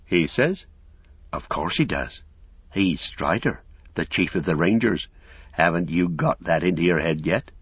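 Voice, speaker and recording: Man voicing a deep-voiced old wizard. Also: lively intonation, a little slow, husky, no echo, highs cut off, exaggerated voice. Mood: exasperated. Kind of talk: audiobook character acting.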